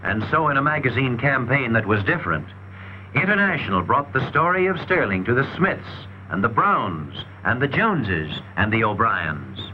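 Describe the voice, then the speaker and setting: A man's voice: medium pitch, sing-song, normal speed, muffled recording, slight background noise. A man delivering scripted 1940s promotional film narration in an emphatic, salesmanlike, rhetorical manner, on an old film soundtrack.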